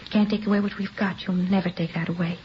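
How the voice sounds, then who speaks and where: Brief: actress as defiant, obsessively devoted wife in a vintage crime radio play, fervent and insistent, dull hissy recording. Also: female voice, lowish voice, steady tone, quick pace, lightly acted. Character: defiant, lovesick married woman confessing to a killing. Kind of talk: 1950s radio drama acting.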